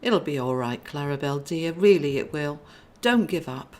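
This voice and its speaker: A woman reader puts on a male angel, the calm big brother of a storybook. He speaks low and gently, in a soothing, kindly formal way. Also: moderate pace. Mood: reassuring.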